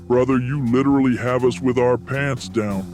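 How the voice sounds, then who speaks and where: Captive gang brawler male actor: mid-range pitch, cocky and protesting, pulp noir drama, music underneath. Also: fairly even intonation, normal speed, darkish tone, lightly acted. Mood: indignant protest. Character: bound cocky biker-gang brawler, smirking and defiant.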